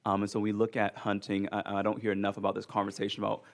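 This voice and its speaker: A man's voice: on the low side, completely flat delivery, creaky. A male legislator questioning a nominee at a hearing, polite, with lots of ums. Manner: polite, many ums, rapid.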